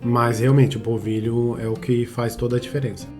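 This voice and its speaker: A man co-hosting a casual podcast, talking haltingly with frequent restarts and tag questions. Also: mid-range voice, flat delivery, over music. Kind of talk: casual conversational podcast chat.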